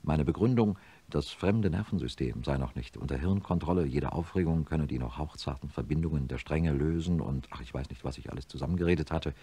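A very deep, husky man's voice as a middle-aged neurosurgeon in a radio play. He is grave and troubled, telling his story like a confession. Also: a little fast, few pauses, mellow, exaggerated voice. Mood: sombre, confessional. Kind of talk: radio drama narration and dialogue.

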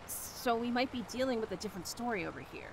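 Woman playing a brisk, animated animal expert. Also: fairly high pitch, creaky, background noise. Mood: puzzled. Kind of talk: audio drama acting.

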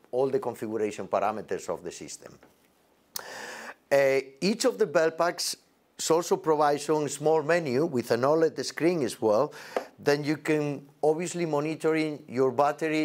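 Halting non-native English-speaking man presenting products. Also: medium pitch. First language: not English. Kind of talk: unscripted product demonstration. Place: indoor demo set with backdrop. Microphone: lapel mic.

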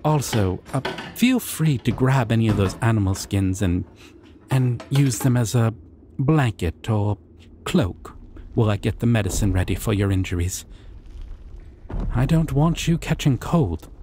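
A man voices a shy, gentle male dragon in a fantasy ASMR roleplay. The voice is mellow and unhurried, rambling in a soft, hesitant, dorky way, with lively swings in pitch. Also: a little hiss or hum, close to an everyday voice. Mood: gentle, attentive.

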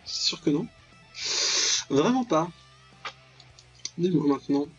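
A man's voice: a little high, lively intonation, slow, highs cut off, soft background music. A young man giving casual, slangy let's play commentary, with mock-dramatic, exclamatory reactions. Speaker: gaming video host.

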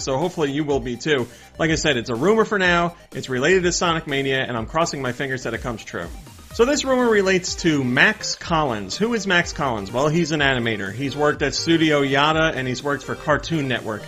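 A man's voice: fairly high pitch, fairly bright tone. A man in his thirties hosting video game news in a casual, friendly, upbeat way, talking to viewers. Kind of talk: casual vlog-style news commentary. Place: small indoor room with game shelves. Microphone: desktop condenser mic close in front. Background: background music.

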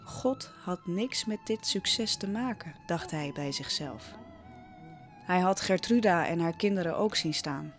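Female narrator, audio drama, scripted storytelling, measured slower pace, soft music behind. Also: fairly low pitch, animated.

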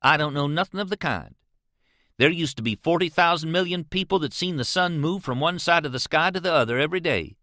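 A man's voice: a little high, lively intonation, brisk, pushed and bright, no room sound, studio quality, voice pushed up. A man voicing a scornful, argumentative adolescent boy, lecturing emphatically in an audiobook. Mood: challenging. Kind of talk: audiobook character voice.

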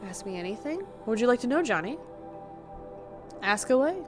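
A young woman with a soft, slightly raspy voice chats casually with her stream while she plays, her pitch swinging up and down. She reads out and answers viewer questions as she goes. Game music plays clearly beneath her voice. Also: very animated, moderate pace. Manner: chatty, answers chat questions aloud. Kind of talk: casual live-stream chat while gaming. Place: indoor room.

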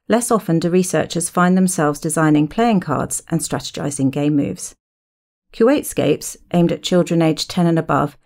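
Low-pitched creaky woman podcast host. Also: darkish tone. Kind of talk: scripted narration.

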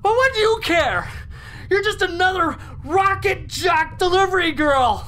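A woman's voice: expressive, measured pace, fairly bright tone, exaggerated voice. A woman playing a frantic, desperate stowaway pleading for life in an audio drama, sometimes sarcastic. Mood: bitter anger. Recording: faint noise with low rumble.

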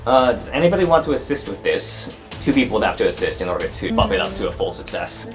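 A man's voice: lowish voice, big pitch swings, fast, far from the mic, music in the background. A man narrating an improvised tabletop roleplaying game as the game master.

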